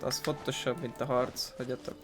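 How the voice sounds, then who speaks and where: A man's voice: mid-range voice, little pitch movement, brisk. A man giving casual let's play commentary as he plays a video game. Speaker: gaming video maker. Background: game music.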